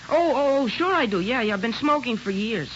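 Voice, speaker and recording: Hesitant, high-voiced teenage boy, old radio. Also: lively intonation, brisk, fairly bright tone, slight background noise. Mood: uneasy, earnest. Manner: hesitant, stammering, awkward. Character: naive, nervous sixteen-year-old small-town boy putting on bravado. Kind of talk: 1940s radio drama acting. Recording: dull old radio broadcast recording.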